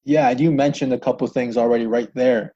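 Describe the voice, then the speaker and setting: A man's voice: mid-range voice, fairly even intonation, fairly quick, warm tone, dull audio. A man hosting a podcast, introducing a guest and asking questions in a loose, friendly way with many fillers. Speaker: podcast host.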